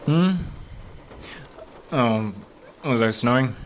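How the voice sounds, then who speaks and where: A man's voice: medium pitch, slight background noise. An actor playing a mild, sceptical park service man who keeps the peace in an audio drama. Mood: groggy. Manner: measured, mild, questioning. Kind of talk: naturalistic audio drama acting.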